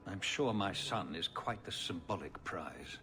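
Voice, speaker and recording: An actor playing a careworn, formal older city ruler in a video game, stately and politely pleading. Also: male voice, deep voice, lively intonation, measured pace, bright, forceful tone, heavily acted. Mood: weary, diplomatic. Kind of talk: video game cutscene acting.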